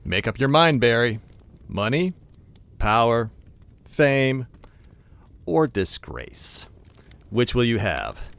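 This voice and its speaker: An actor playing a scheming, pompous crime boss with deliberate menace in an audio drama. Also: male voice, medium pitch, sing-song, fairly bright tone, exaggerated voice. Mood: menacing. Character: smooth, scheming male criminal mastermind. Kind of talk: audio drama villain acting.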